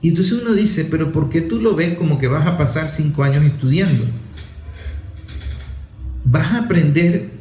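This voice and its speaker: A man's voice: on the high side, darkish tone, echoey room. A man giving an emphatic opinion monologue, listing his points and asking rhetorical questions.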